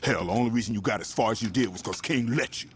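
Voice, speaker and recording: A man's voice: lowish voice, quick pace, close to an everyday voice. A man playing a smug, threatening gang enforcer in a video game. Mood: menacing, smug. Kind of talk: video game cutscene acting. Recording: clear background noise.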